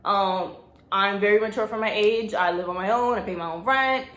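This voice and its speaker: A woman's voice: mid-range voice, moderate pace, fairly bright tone. A young woman talks straight into her phone camera, chatty and blunt. Her voice bounces off the walls of an echoey room. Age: young adult. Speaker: vlogger. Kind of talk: casual advice vlog.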